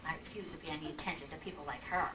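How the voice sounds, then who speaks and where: A woman's voice: low voice, racing, natural voice. A woman playing a prim, gossipy older widow, chattering huffily in a live old-time radio drama with a distant, slightly noisy pickup. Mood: huffy, chatty. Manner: chattering, prissy, huffy. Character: prim, gossipy, indignant widowed lady neighbour.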